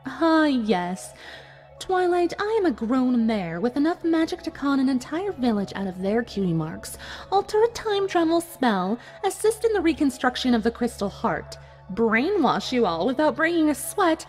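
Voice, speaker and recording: A vengeful cartoon unicorn villainess, acted by a woman, with a high voice that moves about in lively swoops. She is theatrical and deliberate, smug and cold, with bitter menace in her delivery. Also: soft background music. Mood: smug, measured. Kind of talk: dramatic fan fiction audio reading.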